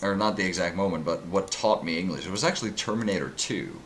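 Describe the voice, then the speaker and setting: A man's voice: deep voice, expressive, fast talker, a little hiss or hum. A young man from Norway with a Norwegian accent, co-hosting a casual podcast chat, rambling and joking. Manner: rambling, jokey, loses his train of thought. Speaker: podcast co-host.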